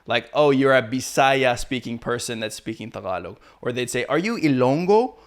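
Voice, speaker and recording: A man from Canada hosting a casual interview, relaxed and chatty. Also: mid-range voice, normal speed. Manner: relaxed, chatty, laughs often. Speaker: podcast host.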